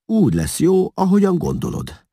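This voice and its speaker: A man's voice: sits high, wildly swooping pitch, vocal fry, dark tone, breathy edge, dry recording, clean studio sound, pitched above the performer's own voice, heavily acted. A man as a scheming, persuasive imperial courtier. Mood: eager, conspiratorial. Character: self-assured male imperial courtier, scheming, persuasive, flattering.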